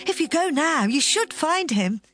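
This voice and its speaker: An excited young village woman, played by an actress in a Bible audio drama. She is helpful and eager, high-pitched and very animated, with faint music. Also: measured pace, exaggerated voice.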